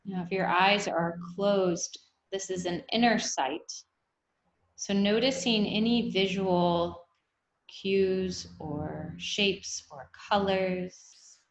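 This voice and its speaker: Slow-paced woman guiding meditation, echoey room. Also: mid-range voice, steady tone. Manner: unhurried, gently instructive with long pauses. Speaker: meditation guide. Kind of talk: guided meditation, body scan.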